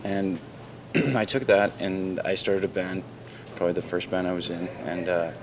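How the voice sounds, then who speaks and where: A Canadian man, a guitarist who founded his band, answering interview questions in a confident, rambling way and clearing his throat now and then. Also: low-pitched, flat delivery, moderate pace, low-quality recording. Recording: raw camcorder audio with noticeable noise.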